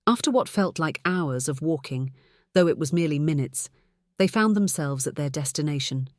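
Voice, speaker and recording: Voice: medium pitch, lively intonation, normal speed, no room sound. An audiobook narrator reading a scripted story.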